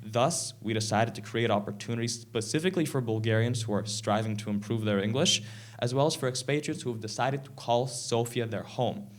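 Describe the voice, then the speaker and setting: A sixteen-year-old boy from Canada giving a prepared stage talk in an earnest, measured way, a little sick. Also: medium pitch. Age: teens. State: slightly sick, clearing throat. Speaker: presenter. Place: event stage.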